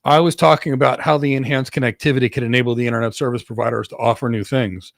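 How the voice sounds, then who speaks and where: A man's voice: mid-range voice, fairly even intonation, quick pace, creaky, dry recording, studio quality. A man from Greece with an American accent, hosting and answering viewers' career questions in an emphatic way, often repeating words for stress. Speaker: host, cloud architect.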